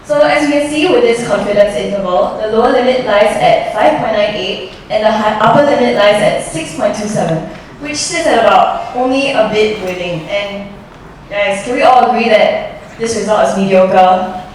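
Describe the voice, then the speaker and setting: A woman's voice: medium pitch, moderate pace, a little hiss or hum. A young woman, a student presenter, earnestly explaining survey results to her class. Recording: roomy echo from far off.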